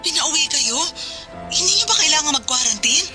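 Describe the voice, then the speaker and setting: A woman's voice: sits high, expressive, fairly quick, loud music behind the voice. A woman acting a worried working wife who anxiously scolds her husband over a phone call in a radio drama. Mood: alarmed. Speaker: wife calling from work.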